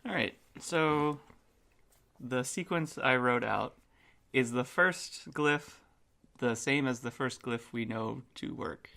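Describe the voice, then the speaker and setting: A woman's voice: extremely low pitch, slow pace, gravelly, a bit bright. A woman playing a tabletop game, casually talking through her options.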